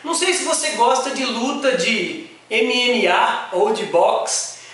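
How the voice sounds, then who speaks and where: A man's voice: very high-pitched, slow. Emphatic Brazilian man in a very echoey room. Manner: animated, emphatic, stretching key words. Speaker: sales coach and motivational speaker. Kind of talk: motivational sales talk to camera. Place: small indoor office with bare wall.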